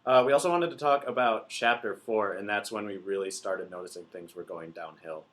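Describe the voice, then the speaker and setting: A man's voice: fairly low pitch, a little fast, a bit bright. A man hosting a podcast in casual chat, blunt and laughing often. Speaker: podcast host.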